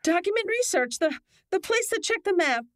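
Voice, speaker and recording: A woman playing a shaken, bitter, grieving young woman, dazed and halting, in an old-time radio drama style. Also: very high-pitched, sing-song, a bit bright, no room sound, clean studio sound, full character voice.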